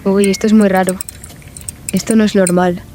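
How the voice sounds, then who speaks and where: A woman's voice: mid-range voice, little pitch movement, moderate pace, mellow, slight background noise, natural voice. A woman playing a frightened, desperate lost woman in a dramatized horror audio story. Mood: frightened disbelief. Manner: panicked, desperate, pleading. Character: frightened lost woman, Valeria, alone in a ghost station.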